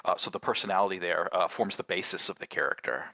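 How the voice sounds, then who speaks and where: A man's voice: low-pitched, fast talker, vocal fry, sharp tone, dry recording. A man who creates games, casually explaining design in an articulate way and often checking that the listener follows. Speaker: game creator in conversation.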